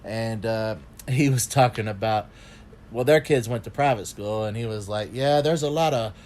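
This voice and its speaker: A man's voice: fairly low pitch, normal speed, darkish tone. A man co-hosting a recap podcast in casual banter, given to joking, off-topic asides. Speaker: podcast co-host.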